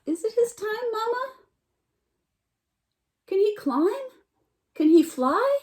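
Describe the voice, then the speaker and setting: Squeaky curious goslings. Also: female voice, big pitch swings, darkish tone, heavily acted. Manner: squeaky, rapid questioning. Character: curious little goslings, chattering.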